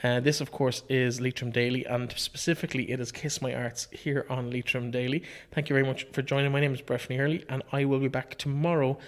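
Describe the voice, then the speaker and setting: An Irish man hosts a casual interview at a brisk clip. His voice sits mid-range, and his questions come in a chatty, bantering way. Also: medium pitch, level tone. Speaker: interview host.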